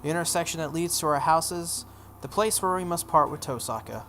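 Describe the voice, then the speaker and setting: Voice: mid-range voice, a little fast, a bit bright. A playthrough host reads a visual novel aloud in a mid-range voice. The delivery is dramatic narration mixed with casual asides. There is a light layer of noise on the recording. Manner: acts out dialogue, breaks off for offhand comments.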